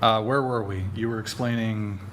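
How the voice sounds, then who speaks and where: A man hosting a podcast panel, joking and hesitant, with lots of fillers, speaking into a desk mic in a bright studio. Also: lowish voice, fairly even intonation, normal speed, a little hiss or hum. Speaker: podcast host. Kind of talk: casual panel chat. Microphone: desk mic on arm.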